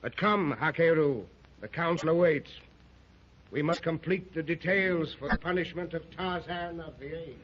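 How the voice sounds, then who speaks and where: A man's voice: on the high side, moderate pace, a little hiss or hum, natural voice. An actor playing a haughty, menacing king of a lost jungle city in pompous archaic speech, in a 1930s radio serial style. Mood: imperious. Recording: muffled old 1930s radio recording.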